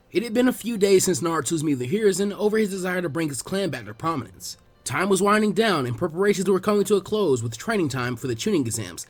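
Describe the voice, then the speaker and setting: A man's voice: fairly high pitch, breathy edge. A man reading scripted fan fiction aloud as the narrator.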